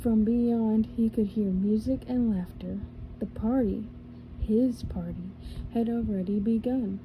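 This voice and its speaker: A woman reading a storybook aloud in a soft ASMR style, slowly and carefully, sometimes slipping into a whisper and correcting small slips as she goes. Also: a little high, phone-quality sound, slight background noise. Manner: drops to a whisper at times. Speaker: ASMR reader.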